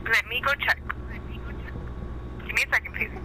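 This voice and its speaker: A woman's voice: a little high, normal speed, pushed and bright. A woman working at a business, taking a phone call politely and a little hesitantly. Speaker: truck stop employee taking a call. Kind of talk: phone conversation with a caller. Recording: noisy telephone line.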